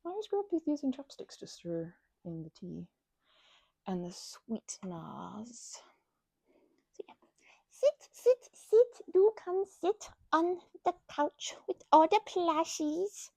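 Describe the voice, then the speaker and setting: Animated, high-voiced woman rambling playfully. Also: slow pace, vocal fry, dry recording, low-quality recording. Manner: rambling, scattered, jumping between asides; playful, childlike excitement. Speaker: ASMR roleplay creator. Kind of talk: ASMR roleplay as a best friend chatting.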